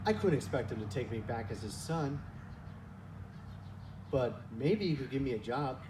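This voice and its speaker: A man playing a humbled, yearning prodigal son in a church reader's theater skit, telling his story earnestly with dramatic pauses. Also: medium pitch, lively intonation, close to an everyday voice. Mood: humble, hopeful. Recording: distant mic, noisy room.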